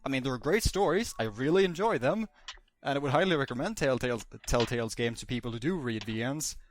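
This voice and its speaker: Male, average pitch, podcast panelist, casual chat, emphatic repeats, halting self-corrections. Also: lively intonation, a little hiss or hum. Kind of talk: casual discussion.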